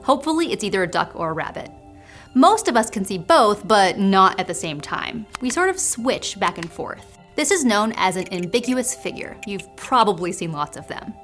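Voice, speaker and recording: A woman's voice: mid-range voice, sing-song, normal speed, fairly bright tone, faint music behind. A woman hosting a science show, narrating in a scripted, explanatory way. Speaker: host.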